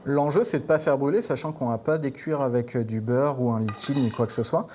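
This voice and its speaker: A man's voice: mid-range voice, fairly quick, darkish tone. A man from Normandy talks through a recipe like a chef at work. His delivery is casual and a little hesitant as he explains each step. There is some noise around him in the kitchen. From Normandy, France. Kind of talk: cooking demonstration with casual chat.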